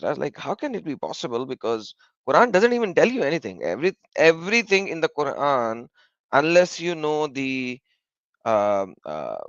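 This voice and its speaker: A man's voice: on the high side, no echo. A man hosting a livestream, speaking hesitantly with many fillers. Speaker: channel host. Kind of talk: casual livestream chat. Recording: dull lo-fi dry sound.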